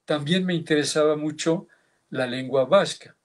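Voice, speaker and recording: Reflective older man recalling his past. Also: fairly high pitch. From France. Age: 70s. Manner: reflective, measured, with small hesitations. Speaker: linguist giving a tribute speech. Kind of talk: formal reminiscence, partly read. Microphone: video call.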